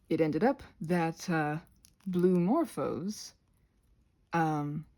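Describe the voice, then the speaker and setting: A woman voicing a chatty, crafty goblin with a gravelly, husky voice, rambling haltingly through an ASMR craft session. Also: sits low, mellow. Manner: rambling, halting, goes off on tangents.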